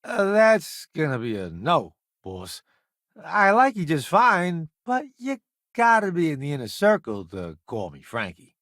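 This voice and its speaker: A man's voice: fairly high pitch, huge pitch swings, a little slow, fairly bright tone, no room sound, studio quality, full character voice. A man voicing a vain, wisecracking, cowardly food-columnist sidekick in a space video game, with a breezy, theatrical, boastful delivery. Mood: wry, laid-back. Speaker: companion character in a space game. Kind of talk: animated video game voice acting.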